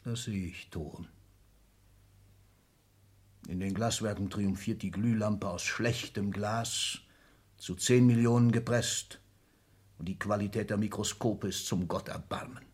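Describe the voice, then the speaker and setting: Husky, proud old industrialist patriarch. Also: male voice, lowish voice, steady tone, unhurried, long pauses. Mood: weary disgust. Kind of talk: German radio drama acting.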